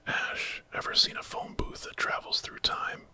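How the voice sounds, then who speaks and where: A man acts out a resourceful, eccentric male time traveler in an ASMR story. He is brisk and commanding. Every word is a bright, breathy whisper. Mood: urgent.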